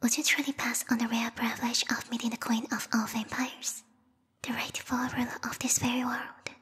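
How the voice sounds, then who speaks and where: A woman's voice: fairly high pitch, flat delivery, fairly bright tone. Woman as a whispering, courtly vampire bat girl. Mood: wounded, suspicious. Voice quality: breathy whisper. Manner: formal, breathy, slow theatrical speech. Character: yandere vampire bat girl, queen's knight, courtly and lethal. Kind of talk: whispered ASMR roleplay. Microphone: close binaural microphone. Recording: reverberant hall-like echo.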